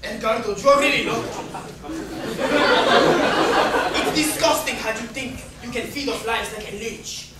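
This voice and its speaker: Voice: mid-range voice, moderate pace, lightly acted. An amateur actor playing a passionate, indignant romance writer in a stage play, theatrical and florid, mixing in Spanish words. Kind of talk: amateur dramatic reading of a play. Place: echoey room, far from the mic. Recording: very echoey, distant, noisy room recording.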